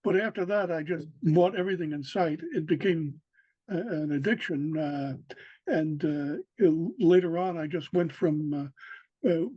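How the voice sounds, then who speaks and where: Older New man, halting speech. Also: on the high side, husky, no room sound. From New York City. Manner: halting, many fillers and restarts. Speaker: interviewee, local historian. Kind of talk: casual recorded interview. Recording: dry, dull lo-fi sound.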